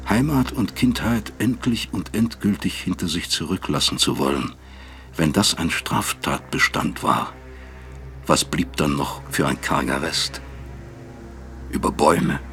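An actor playing a remorseful, self-accusing father who narrates a radio drama in a gravelly, husky voice, reflective and bitter. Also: extremely low pitch, measured pace, fairly bright tone, soft background music, full character voice.

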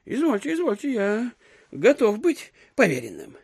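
A man's voice: very high voice, huge pitch swings, moderate pace, darkish tone, breathy edge, heavily acted. An actor playing a genial, obliging, fussy provincial court chairman in an audio drama. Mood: obliging, bustling. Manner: affable, eager, exclamatory. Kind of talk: theatrical audio drama acting.

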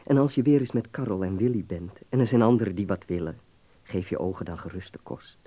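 An actor playing a worldly, affectionate young medical student with a fervent, teasing, philosophizing manner in a Dutch radio play. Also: male voice, fairly low pitch, a little fast, dark tone, close to an everyday voice. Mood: earnest, marvelling. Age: young adult.

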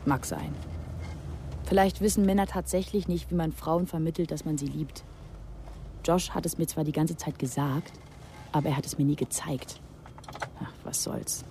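A woman's voice: low-pitched, normal speed, pauses often, mellow, a little hiss or hum. A woman playing a rebellious, sarcastic teenage girl in a radio drama, telling her story in a confiding, dramatic way. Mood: wistful, bitter, then defiant, snarky. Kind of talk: radio drama narration and dialogue.

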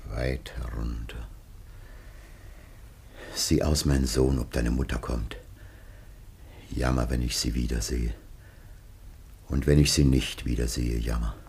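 A man's voice: a bass voice, steady tone, slow, creaky, long pauses, a little mellow, slightly rough, heavily acted. An actor playing a weary, dying old father in a classical radio play, solemn, grave and lamenting in his delivery. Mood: quiet, resigned.

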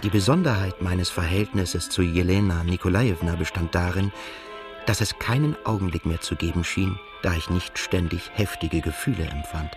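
An actor playing a reflective, melancholy male writer who narrates his own story in a radio drama in measured, literary phrases. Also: low-pitched, over music, lightly acted. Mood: introspective, melancholy.

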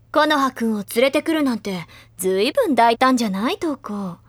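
A woman's voice: fairly high pitch, expressive, normal speed, breathy edge, no echo. An actress playing a confident, teasing schoolgirl painter in a Japanese audio drama, self-assured and playfully theatrical. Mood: smug, teasing.

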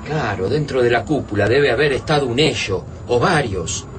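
An actor with an Argentine accent playing an analytical, urgent resistance survivor in a radio drama. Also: male voice, mid-range voice, expressive, moderate pace, lightly acted. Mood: excited realisation. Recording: clearly noisy background ambience.

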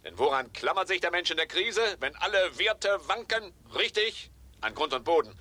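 An actor playing a slick, scheming promoter in a 1970s German radio play, coaxing and pitching with pushy sales patter. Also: male voice, moderate pace, full character voice. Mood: excited pitching. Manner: persuasive sales patter, swinging from coaxing to excited.

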